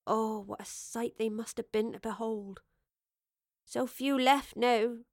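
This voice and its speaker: A woman's voice: a little high, fairly even intonation, slow, no echo. Storybook role: female narrator as kindly, weary old woman, colloquial and wistful, dry close sound. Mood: awed, wistful. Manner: homely, colloquial, wistful speech. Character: Evie, weary kindly working-class old woman of far future.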